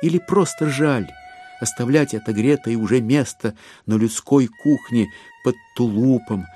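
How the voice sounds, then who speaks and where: A man narrating a literary audio drama in a measured, expressive storytelling manner with deliberate pauses. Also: medium pitch. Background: music with violin.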